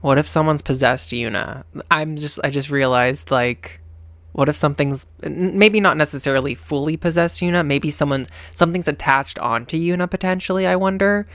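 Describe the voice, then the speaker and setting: A reaction host with a mid-pitched voice, talking casually and emotionally. The delivery is dramatic and rambling, recorded close and dry. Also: a bit bright, no echo.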